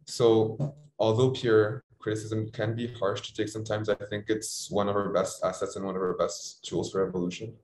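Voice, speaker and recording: Low-voiced young man in an echoey room. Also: flat delivery, normal speed, a little mellow, slight background noise. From Morocco. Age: young adult. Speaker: student panelist. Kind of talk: reflective panel answer. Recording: roomy, echoey sound.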